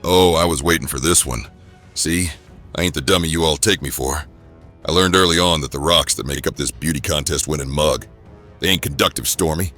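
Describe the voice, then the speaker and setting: A very deep, forceful comic book bruiser made of rock, big-hearted and cocky. He talks in New tough-guy slang with a gruff edge, over music. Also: brisk, heavily acted. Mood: cocky, combative. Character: gruff, wisecracking rock-skinned superhero brawler, big and good-hearted.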